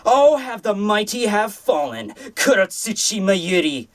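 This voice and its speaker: A man voicing a pompous, taunting male scientist villain from a manga, in slow, mocking, theatrical delivery. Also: sits high, sing-song, pitched above the performer's own voice. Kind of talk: manga dialogue read aloud.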